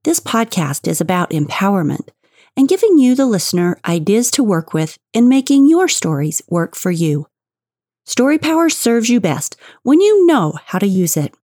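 A woman's voice: medium pitch, very animated, normal speed, no room sound. A woman hosting a podcast, reading an upbeat introduction and then interviewing her guest with enthusiasm. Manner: enthusiastic, warm praise with laughter. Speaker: podcast host.

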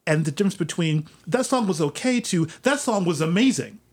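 Higher-voiced man explaining music arranging. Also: animated, brisk. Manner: explains step by step, asks himself questions then answers. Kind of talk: conversational explanation.

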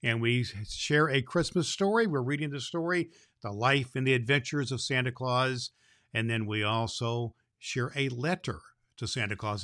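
A bright, forceful Santa Claus, played by a man, speaks fondly to children in a close, dry recording. He is cheerful and kindly as he hosts and reads stories, sometimes tripping over his words. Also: medium pitch, dry recording, lightly acted. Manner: stumbles and repeats words, addresses children fondly. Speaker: show host and story reader. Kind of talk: children's show hosting and storybook reading.

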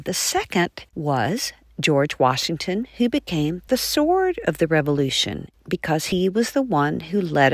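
A woman's voice: low voice, dry recording, clean studio sound. A woman speaking as a guest author, telling history with enthusiasm.

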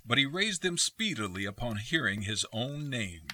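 A man narrating a radio play in a measured, expressive, literary storytelling style. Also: medium pitch, a little hiss or hum.

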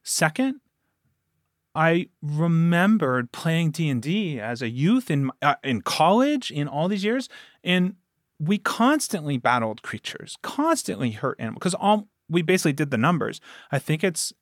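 A man's voice: on the high side, sing-song, measured pace, no echo, clean studio sound. A man talking casually as a podcast guest, telling stories and quoting himself and others as he goes. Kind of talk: casual interview conversation.

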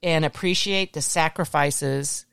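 American podcast host, mid-pitched, lightly gravelly, explanatory and conversational, recorded dry. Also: unhurried, fairly bright tone, dry recording. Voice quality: slightly gravelly. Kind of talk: casual discussion from notes.

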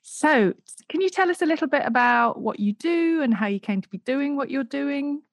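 A woman podcast host presenting introductions and interviewing in a clear, measured way. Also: sits high, animated.

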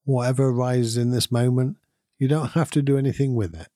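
A man leading a guided meditation in a calm, soothing, unhurried manner with long pauses between phrases. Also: medium pitch, dry recording, clean studio sound. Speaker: meditation guide and podcast host. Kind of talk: guided meditation, gentle instruction.

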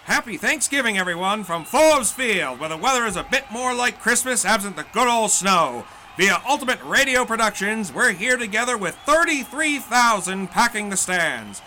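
An actor playing an excitable 1920s radio football announcer with florid, exclamatory old-time patter. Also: male voice, high voice, a little hiss or hum, full character voice. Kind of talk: 1920s radio sports play-by-play.

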